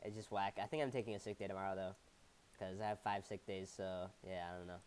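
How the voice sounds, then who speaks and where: A man's voice: low-pitched, steady tone, quick pace, a little mellow, a little hiss or hum. A man co-hosting a podcast in casual chat, laid-back and trailing off, with a stuffy head cold. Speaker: podcast co-host.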